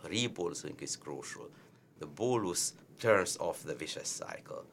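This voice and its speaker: A man's voice: low voice, animated, measured pace, creaky, a bit bright, slight background noise. A man with a heavy Hungarian accent, a cardiologist explaining treatment with emphatic repetition of key points. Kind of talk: medical teaching discussion.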